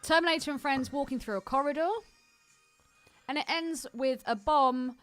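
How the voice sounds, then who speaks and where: A woman's voice: sits high, moderate pace, fairly bright tone, faint music behind. A woman co-hosting a casual movie podcast, chatty and ready to argue her point. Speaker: podcast co-host.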